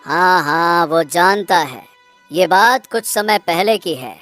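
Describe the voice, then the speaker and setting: A man's voice: high-pitched, expressive, slow, fairly bright tone, no room sound, faint music behind, heavily acted. Man as an emphatic young cartoon prince. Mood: indignant. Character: wronged young fairy-tale prince, proud and indignant.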